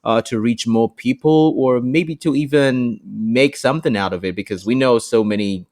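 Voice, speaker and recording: A man's voice: medium pitch, normal speed, darkish tone. A man hosting a podcast, asking questions in an encouraging manner and leaning on "you know" as a filler. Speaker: podcast host. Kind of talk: casual interview chat.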